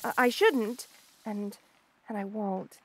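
A woman's voice: mid-range voice, slow, slight background noise, poor audio. A woman voicing an obsessive, lovestruck rattlesnake lamia in an intimate audio roleplay, gushing and hesitant with coy stammers. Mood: longing, tender. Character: obsessive lovestruck female rattlesnake lamia, shy yet unsettling.

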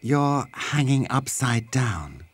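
A man's voice: medium pitch, big pitch swings, slow. A man voicing a mild, puzzled grown-up in a preschool cartoon. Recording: loud music under the voice.

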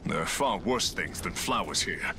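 An actor playing a wry outcast deserter who leads rebels in a video game, gravelly, with a dry, self-deprecating manner. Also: male voice, low-pitched, animated, normal speed, background noise. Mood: wry, guarded. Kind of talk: video game character dialogue.

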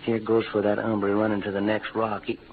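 A man's voice: fairly low pitch, monotone, brisk, close to an everyday voice. Man playing a folksy cowboy sidekick, tinny radio. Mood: cocky, amused. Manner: folksy drawling frontier slang. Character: Brazos, cocky, folksy cowboy sidekick and gunman. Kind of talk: 1940s-50s western radio acting. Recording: noisy, narrow-band old radio recording.

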